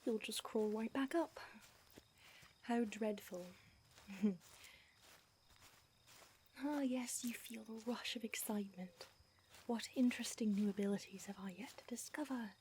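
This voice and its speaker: A woman's voice: on the high side, a little slow, pauses often, darkish tone, slight background noise, close to an everyday voice. A woman voicing an aloof, prickly female vampire who is centuries old, speaking in a dry, sardonic whisper for an audio roleplay. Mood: dry teasing.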